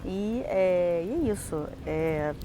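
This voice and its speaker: A young woman from Brazil talks casually to her viewers, explaining things at length with fillers and small restarts. Her voice sits on the lower side. Steady background noise runs under the recording. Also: slow pace. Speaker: vlogger. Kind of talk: casual advice vlog. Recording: noisy outdoor recording.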